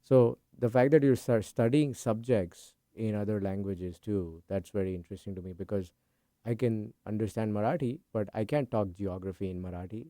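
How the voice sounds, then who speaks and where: A man's voice: fairly low pitch, moderate pace, mellow, no room sound, studio quality. A middle-aged man hosting a podcast interview, asking long, thoughtful, winding questions. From India. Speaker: podcast host. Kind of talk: conversational interview.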